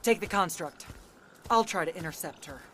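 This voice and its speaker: A woman's voice: on the low side, normal speed, sharp tone, slight background noise. A woman playing a stern, impatient military commander in a video game, speaking in a clipped, authoritative way. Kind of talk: video game cutscene acting.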